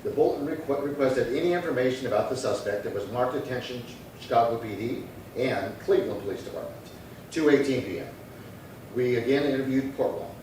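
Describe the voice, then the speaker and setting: A man playing a businesslike, deadpan police detective, delivering clipped dialogue and case narration in a 1950s-style radio crime drama. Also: medium pitch, brisk, natural voice. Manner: clipped, deadpan, matter-of-fact. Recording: very echoey room, distant mic, faint noise.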